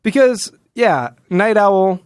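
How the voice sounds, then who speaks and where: Male podcast host, slightly high-pitched and close-sounding; casual, wry, jokey, slips into mock dialogue. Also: animated, slow pace, clear, resonant tone, dry recording. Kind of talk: casual comic book discussion.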